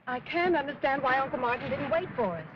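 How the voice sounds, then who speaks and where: A woman's voice: high voice, fast talker, very noisy, natural voice. An actress playing a spirited, earnest young society woman in a 1940s film serial. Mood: worried. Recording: dull 1940s film soundtrack with music.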